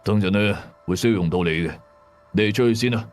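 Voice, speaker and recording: A man acts out an older Hong Kong exorcist father in a radio ghost story. The voice is low, grave and knowing. He speaks in a measured, probing way. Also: soft background music, close to an everyday voice.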